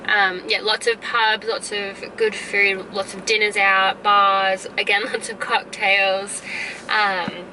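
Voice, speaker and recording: An Australian woman rambling casually to her camera, stuffy-nosed and coughing from a head cold, with plenty of "um" and "like". She sits back from the mic, and a clear layer of background noise runs under her voice. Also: medium pitch, flat delivery, takes it slowly, pushed and bright, distant mic, poor audio. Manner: rambling and chatty, full of "um" and "like". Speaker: vlogger. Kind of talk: casual catch-up chat to camera.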